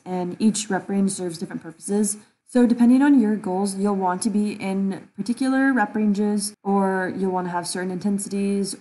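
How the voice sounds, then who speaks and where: A woman's voice: medium pitch, dark tone. A woman in her twenties chatting informally as a fitness vlogger, explaining things in a rambling, casual way. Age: 20s. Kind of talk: casual vlog chat and workout voice-over.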